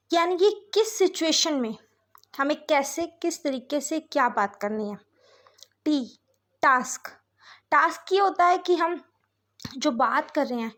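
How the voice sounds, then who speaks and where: A woman with an Indian accent giving an explanatory lecture as a teacher, mixing Hindi and English and often checking that listeners follow. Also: high voice.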